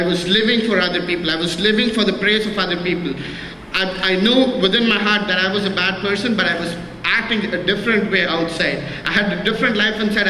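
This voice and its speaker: Man, 30s, church testimony, halting and earnest; bright tone, long hall echo. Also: sits high. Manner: halting, many restarts and repeated words; earnest and plain. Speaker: church member giving testimony. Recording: echoey hall with faint noise.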